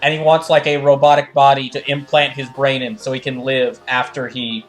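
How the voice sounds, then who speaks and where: A man's voice: mid-range voice, little pitch movement, moderate pace. A man giving casual livestream commentary on a video game, rambling enthusiastically as he explains lore. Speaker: streamer doing live fan dubs of a video game. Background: music.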